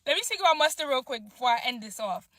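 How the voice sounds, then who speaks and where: A woman chatting in a slangy, animated way as she recaps a concert in a casual vlog. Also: sits high, fast, no echo. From Nigerian heritage.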